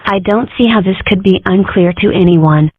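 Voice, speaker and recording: Opinionated female cartoon animal woman actor, mid-pitched, earnest and declarative, dry narrow telephone-grade recording. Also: moderate pace, breathy edge, no room sound, close to an everyday voice. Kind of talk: comic dub voice acting. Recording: dry, phone-like narrow sound.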